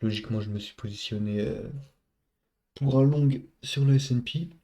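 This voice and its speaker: A man's voice: mid-range voice, moderate pace, warm tone. A man with a head cold and a stuffy nose, casually talking viewers through his trade with lots of hesitations. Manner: casual, many hesitations, talks to viewers as buddies. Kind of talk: casual screen-recorded trade recap.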